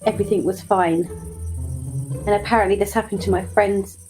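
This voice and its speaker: A woman's voice: mid-range voice, steady tone, fairly quick, over music, rough recording. A woman speaking casually in character as a player in a tabletop roleplaying game.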